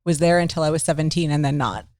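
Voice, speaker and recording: A woman from Baltimore talking casually as a podcast guest, full of "like" and quick laughs. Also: sits low, a little mellow, dry recording. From Baltimore, Maryland. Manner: chatty, lots of "like", laughs while telling stories. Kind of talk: casual interview talk.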